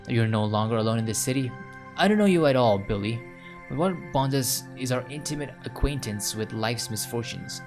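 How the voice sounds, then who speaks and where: A man's voice: medium pitch, lively intonation, a little fast, darkish tone, faint music behind, natural voice. A man voicing a smitten, earnest male visual novel protagonist with florid, sentimental inner narration. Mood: yearning.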